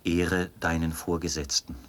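An actor playing a zealous young penal colony officer and judge in a German radio drama, explaining in precise, formal standard German with a husky voice. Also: male voice, deep voice, flat delivery, measured pace, fairly bright tone, slight background noise. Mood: cold, self-assured.

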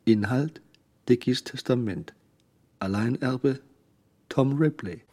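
An actor narrating a radio crime drama in a cool, sly, scripted manner. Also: male voice, mid-range voice, slow pace, a little mellow. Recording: studio radio play with music.

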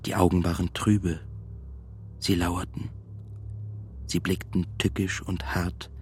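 An actor playing a tormented, melancholy man in a radio play monologue, brooding and deliberate, at times nearly whispering. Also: deep voice, little pitch movement, slight background noise. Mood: disgusted, self-loathing. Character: tormented, melancholy man confessing desire and self-loathing. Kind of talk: literary first-person monologue in radio play.

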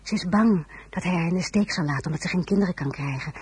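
A woman playing a pensive young narrator of her own memories, intimate and reflective, with a breathy husky voice. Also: on the low side, quick pace, darkish tone, no echo. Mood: pensive, pitying. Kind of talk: scripted first-person narration.

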